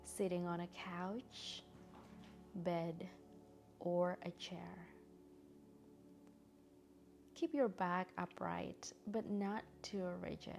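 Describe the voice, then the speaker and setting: A woman guiding a meditation in a gentle, soothing, soft-spoken way with long pauses. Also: fairly low pitch, steady tone, vocal fry, faint music behind. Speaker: meditation guide. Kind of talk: guided meditation narration.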